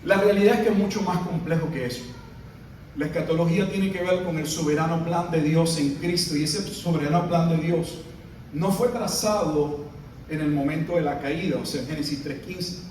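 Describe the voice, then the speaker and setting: A middle-aged man from Puerto Rico, with a Puerto Rican Spanish accent, giving a measured teaching sermon at a conference, with pauses and fillers. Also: a little high, a little mellow, slight background noise. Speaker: pastor. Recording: echoey room, mic at a distance.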